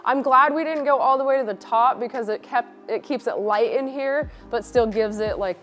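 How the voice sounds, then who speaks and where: A woman's voice: on the high side, normal speed, soft background music. Chatty woman explaining a DIY wall. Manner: chatty, practical, relaxed. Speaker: co-host. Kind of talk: casual gym tour. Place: indoor home gym. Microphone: lapel.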